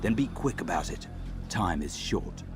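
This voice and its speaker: A stern conspirator handing out threats and orders in a game. His voice is on the low side, set against loud music. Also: very animated, unhurried. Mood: stern, threatening. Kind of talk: video game cutscene acting.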